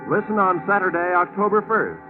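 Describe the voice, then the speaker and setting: A man's voice: sits high, brisk. A man announcing in formal, polished, scripted 1940s radio style on an old broadcast recording. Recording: dull old radio recording, music behind.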